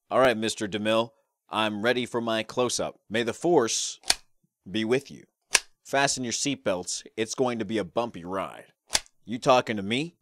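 A young man performing classic movie characters in short, deadpan, theatrical one-liners. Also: on the low side, close to an everyday voice. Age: young adult. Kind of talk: amateur impressions of film quotes. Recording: clearly noisy background with clicks.

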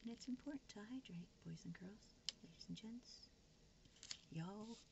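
A woman with a creaky voice rambles casually and candidly to her viewers, poking fun at herself and half talking to herself as she crafts at a table. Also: unhurried, lo-fi sound. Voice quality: low, creaky voice. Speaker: craft vlogger. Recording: noisy room recording with background hiss.

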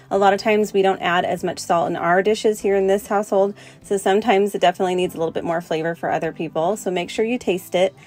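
Friendly woman narrating a cooking demo. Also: lowish voice. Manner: relaxed, friendly step-by-step instructions. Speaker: cooking channel host. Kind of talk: voice-over cooking demonstration.

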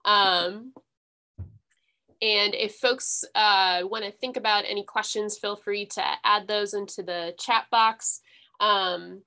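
A woman hosting an online question session over a video call, informal and a little halting in her phrasing. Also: mid-range voice, bright, forceful tone. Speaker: host and question moderator. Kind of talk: informal webinar moderation. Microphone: video call computer microphone.

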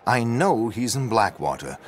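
A man playing a dapper, flamboyant gentleman rogue in a video game, with wry, flowery politeness. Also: lowish voice, big pitch swings, moderate pace. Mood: concerned, informative. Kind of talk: dramatic video game cutscene acting.

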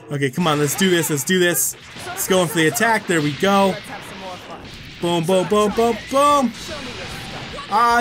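A man's voice: high-pitched, a bit bright. A man giving casual, rambling gameplay commentary as he plays, swearing freely and often repeating himself. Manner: profane, rambling, often repeats words and exclaims. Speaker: gaming video creator and player.

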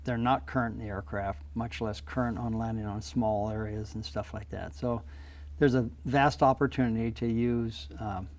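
A man's voice: fairly low pitch, fairly even intonation, moderate pace, a little mellow. An older man, a pilot, explaining flying practice in a plainspoken, practical way in a small TV studio interview on a lapel mic. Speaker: guest pilot.

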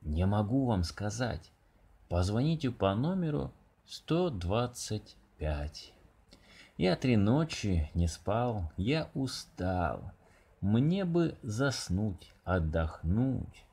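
A harried storybook man acted by a reader answers call after call with some exasperation. His voice is low and husky, with lively swings in pitch. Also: takes it slowly, heavily acted. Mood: weary. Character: harried, soft-hearted man answering endless animal phone calls.

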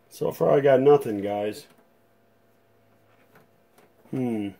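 A man's voice: medium pitch, mellow. A man giving a casual hands-on demonstration as a vintage radio restorer, thinking aloud in an informal, tentative way.

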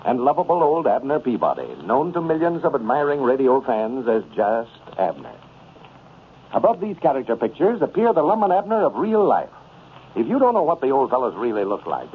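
A man's voice: mid-range voice, very animated, moderate pace. A man with an American accent announcing in the scripted advertising style of 1930s radio. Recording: noisy, tinny old radio recording.

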